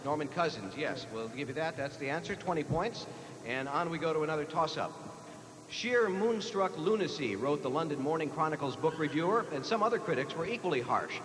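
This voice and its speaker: A man's voice: on the high side, animated, a little fast, a bit bright. A man with an American accent hosting a live quiz show, reading out questions to the players. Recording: echoey hall, noisy old TV broadcast.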